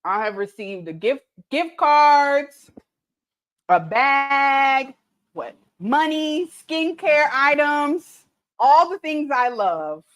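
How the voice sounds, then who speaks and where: A chatty, easygoing woman in her late thirties with a drawl, her voice pitched a little high. She talks loosely and laughs often, a little tipsy, like she's reading comments off her own livestream. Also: animated, drawn-out words, a bit bright. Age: late 30s. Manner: chatty, laughing, reads viewer comments aloud. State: tipsy from mimosas. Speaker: cooking livestream host. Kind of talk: casual livestream chat.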